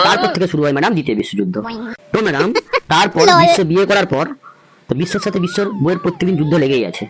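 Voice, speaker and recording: A man's voice: a little high, a bit bright. A man voicing a cheeky schoolboy prankster in a comic cartoon, rambling through silly answers and calling the teacher madam.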